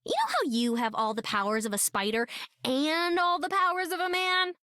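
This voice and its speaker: A woman voicing a bubbly young heroine with squirrel friends, rambling excitably in a video game. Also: very high-pitched, moderate pace, dry recording, clean studio sound, exaggerated voice.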